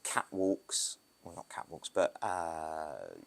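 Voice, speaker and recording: British man, very low voice, casual rambling podcast guest, photographer. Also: big pitch swings, slow, gravelly. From Britain. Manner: loose, rambling, with restarts and asides. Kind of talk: casual interview talk.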